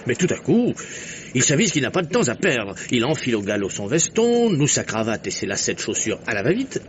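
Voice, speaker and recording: An actor playing a keen, talkative journalist turned amateur detective in an old French radio mystery, theatrical and reasoning step by step. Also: male voice, mid-range voice, sing-song, fairly quick, close to an everyday voice. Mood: animated deduction. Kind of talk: mid-century French radio mystery acting. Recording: noisy, muffled old radio drama recording.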